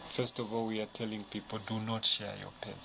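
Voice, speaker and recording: A man, a bank official, explaining in a measured, patient way in a studio interview. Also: lowish voice, level tone, creaky. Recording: noisy studio background.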